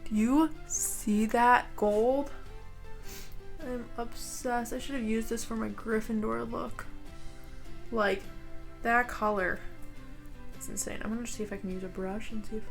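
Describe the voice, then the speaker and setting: A woman's voice: on the high side, level tone, measured pace, gravelly, pauses often, soft background music. A young American woman chatting casually while doing her makeup, rambling with lots of "like" and "um". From United States. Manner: chatty, rambling, heavy use of "like" and "um". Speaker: beauty vlogger. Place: home, indoors.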